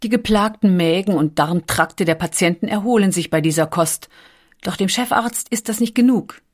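A woman reading scripted documentary narration with measured, carefully articulated storytelling. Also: mid-range voice.

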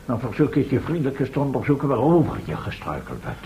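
A man's voice: mid-range voice, wildly swooping pitch, fast talker, highs cut off. An actor in a radio drama playing a bossy, scoffing man who leads adventurers, speaking in a pompous, lecturing way. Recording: noisy old radio play recording.

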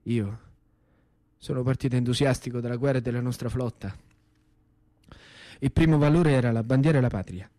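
Man, Roman accent, theatrical storyteller, radio monologue, short colloquial phrases, medium pitch, dry studio sound. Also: brisk, long pauses, dark tone, no echo. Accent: Roman Italian. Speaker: stage actor and storyteller. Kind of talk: dramatic first-person monologue of a wartime veteran's memoir, radio theatre.

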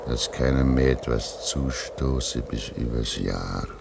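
An actor playing a pious, weary old man at a polar station in a German radio play, speaking solemnly and deliberately. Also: rumbling low, vocal fry, noisy background, full character voice. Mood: grieving, resigned. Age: older.

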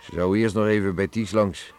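Radio drama: male actor for weary old countryman, deep, plain-spoken, mildly grumbling; faintly noisy archive sound. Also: fairly even intonation, moderate pace, darkish tone, close to an everyday voice. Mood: matter-of-fact. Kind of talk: Dutch radio drama acting.

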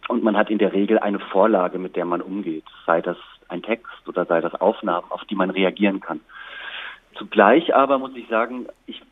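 A man with a fairly low, slightly husky voice is being interviewed. He explains his creative work in a considered, articulate and reflective way. The sound is narrow and muffled, like a phone connection. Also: moderate pace. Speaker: interviewed radio play author and composer.

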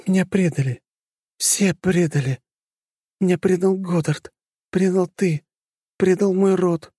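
A woman's voice: on the low side, steady tone, measured pace, warm tone, breathy edge, no echo, studio quality. A woman voicing a broken, bitter mother who speaks in a hollow, fixated way. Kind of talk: audiobook character voice.

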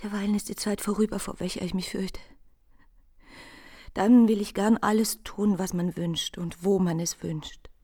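An actress playing a tender, anxious young bride in a literary radio drama, speaking intimately and often in a breathy hush. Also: female voice, mid-range voice, steady tone, moderate pace, lightly acted. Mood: anxious foreboding.

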